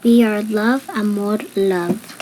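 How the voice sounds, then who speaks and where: A woman reading a picture book aloud in deliberate, separate phrases. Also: mid-range voice, steady tone, mellow, poor audio. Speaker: storybook reader. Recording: background noise.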